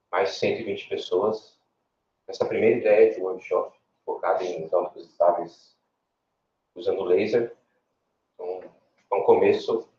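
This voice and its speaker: Deep-voiced male organizer, upbeat welcome, distant and roomy on a muffled stream. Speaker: workshop organizer. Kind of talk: welcome speech. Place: small amphitheater. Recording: distant, roomy, dull livestream audio.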